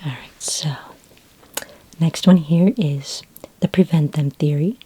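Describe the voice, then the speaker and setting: Woman, low-pitched, breathy near-whisper, mellow tone, gentle unhurried pace, ASMR roleplay as a helpful sci-fi librarian. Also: fairly even intonation, slight background noise. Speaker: ASMR performer playing a friendly librarian. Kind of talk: soft-spoken ASMR roleplay.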